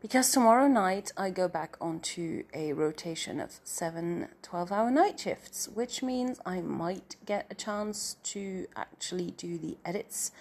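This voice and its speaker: Slow, nasal middle-aged woman rambling. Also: medium pitch. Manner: meandering and self-deprecating, trailing off into filler. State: stuffy-nosed. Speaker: writer and story narrator. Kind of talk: rambling casual personal update.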